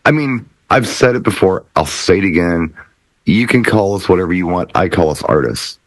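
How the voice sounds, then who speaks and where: Male DJ panelist, middle-aged, lower register, dry recording, measured conversational delivery with deliberate pauses. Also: expressive, dry recording. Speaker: DJ and talk show panelist. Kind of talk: casual chat.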